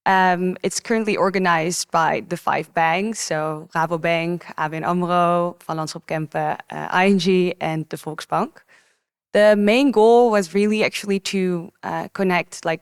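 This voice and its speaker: A woman's voice: lowish voice, creaky. A young adult woman, an event organizer, speaking as a podcast guest in an upbeat, casual way. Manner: upbeat, says like and really. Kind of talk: casual conversation.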